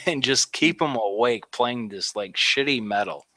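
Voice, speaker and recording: A man's voice: mid-range voice, bright, forceful tone, dry recording. A man in his thirties, a veteran, telling his war story in a rambling, casual way with lots of fillers. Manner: rambling, many "like" fillers, laughs at grim moments. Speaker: Army veteran guest. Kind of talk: casual interview talk.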